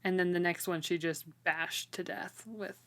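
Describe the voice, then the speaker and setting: A woman's voice: on the low side, fairly even intonation, normal speed, a bit bright. A woman co-hosting a casual book discussion, retelling the story in an informal, wryly incredulous way. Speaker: co-host of a book read-along show. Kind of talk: casual chat, recapping a fantasy novel.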